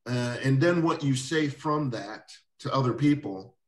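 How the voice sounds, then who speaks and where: Male interview host, easygoing and rambling, mid pitch, recorded off-mic in a roomy space. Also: lively intonation, a little slow. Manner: rambling, with many restarts and soft laughs. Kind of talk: casual interview chat. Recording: roomy echo, distant mic.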